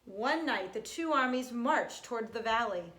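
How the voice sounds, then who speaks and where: A woman's voice: fairly high pitch, lively intonation, moderate pace, a bit bright, slight background noise. A woman reading a children's storybook aloud. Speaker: storybook reader. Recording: roomy, echoey home recording.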